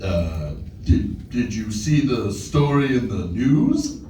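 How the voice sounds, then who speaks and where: Man playing a slow, awkward lonely guy. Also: fairly low pitch, sing-song, mellow. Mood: lonely, hopeful. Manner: hesitant, sighing, awkward. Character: lonely, anxious, awkward man living alone. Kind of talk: live table read of a comedy screenplay. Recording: echoey room, distant mic, faint noise.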